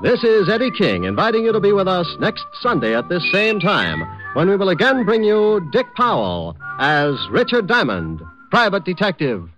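A male radio announcer reads in a polished, scripted old-time style. His pitch is high and very animated. Music plays behind him on a dull vintage broadcast recording. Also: moderate pace.

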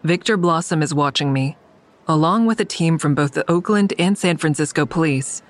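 A woman's voice: low-pitched, normal speed, natural voice. An actress playing a weary, defiant woman who narrates her own thriller in a wry, blunt way. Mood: wry, watchful. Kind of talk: first-person audio drama narration and dialogue.

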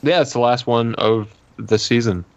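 A man's voice: medium pitch, normal speed, creaky, highs cut off. A man co-hosting a casual sports podcast, laid-back with short replies. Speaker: podcast co-host.

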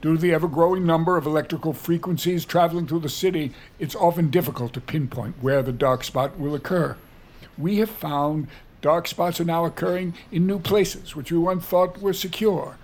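Evasive city mayor, higher-pitched man. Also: a little mellow. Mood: defensive. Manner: measured, evasive political speech. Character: defensive city mayor at a press conference. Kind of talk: audio drama acting, formal public address.

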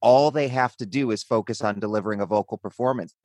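Male theater director, interview talk, slightly deep voice, close dry sound. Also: quick pace, darkish tone, no echo.

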